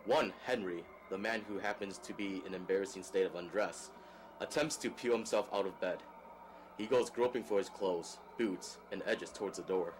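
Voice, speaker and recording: A man's voice: low-pitched, moderate pace. A man narrating a drama script, reading out scene action as scripted narration. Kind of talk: scripted narration of stage directions. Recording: clear background noise.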